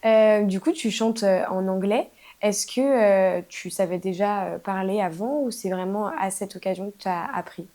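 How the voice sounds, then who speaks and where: Upbeat woman interviewer with a mellow voice. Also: mid-range voice, normal speed, hardly any pauses. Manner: upbeat, laughs often, hesitant questions. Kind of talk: casual interview questions.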